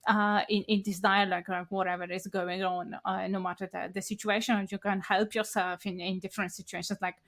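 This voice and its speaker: Polish woman hosting a podcast, english isn't her first language, lots of uh and repeats, mid pitch. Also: little pitch movement, fairly quick, few pauses, a bit bright. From Poland. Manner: hesitant, many fillers and repeated words. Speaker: podcast host. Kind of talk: casual conversational chat.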